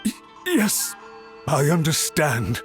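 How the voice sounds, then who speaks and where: Deferential male bureaucrat for a game: highish pitch, anxious, apologetic, formal, music behind. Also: very animated, slow pace. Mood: cowed, deferential. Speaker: video game character voice actor. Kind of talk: game dialogue acting. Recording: studio voice over game music.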